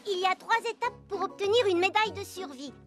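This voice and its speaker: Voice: extremely high pitch, fast talker. A performer voicing an earnest, cautious young boy woodpecker scout in a French cartoon dub, careful and bookish. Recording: loud cartoon music behind.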